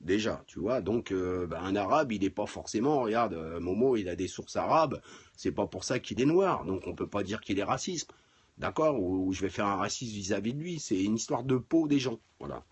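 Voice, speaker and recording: A middle-aged man with a husky voice, chatting casually and bluntly on a livestream, with crude swearing. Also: lowish voice, lively intonation, moderate pace. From France. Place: home garage.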